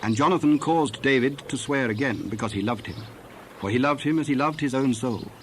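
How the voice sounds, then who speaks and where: A man narrating scripture from a script in a measured, formal storytelling manner. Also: mid-range voice, slight background noise.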